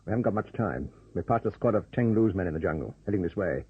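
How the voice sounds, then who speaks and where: A man playing a tough, brusque pilot in a radio drama, giving urgent clipped orders in a husky voice. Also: low voice, lively intonation, fast talker, dry recording, full character voice. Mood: tense, businesslike. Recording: dull old radio recording.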